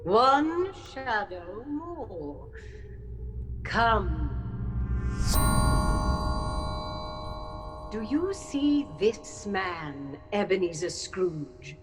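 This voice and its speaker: A woman playing a solemn ghostly spirit in an audio drama, stern, measured and portentous. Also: medium pitch, sing-song, a bit bright, full character voice. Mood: stern, relentless. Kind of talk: theatrical audio drama acting. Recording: long cavernous reverb, faint noise.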